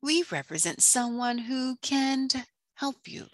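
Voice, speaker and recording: A woman's voice: high-pitched, lively intonation, slow, no room sound, studio quality, pitched above the performer's own voice. A woman voicing sly, coaxing eels in a children's storybook reading. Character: sly eels offering help to a mermaid.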